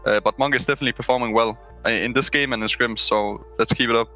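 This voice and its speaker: Young man with a bright voice on a headset. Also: mid-range voice, quick pace, faint music behind, poor audio. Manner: relaxed, filler-heavy, frank. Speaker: esports player. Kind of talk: post-match interview. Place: small room at home. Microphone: headset on a webcam call.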